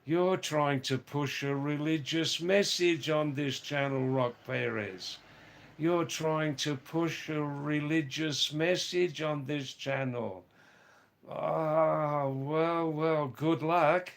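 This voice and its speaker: A hoarse man live-streaming from a hill village, reading out viewers' comments and greeting them by name in a halting way with many fillers. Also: on the high side, steady tone.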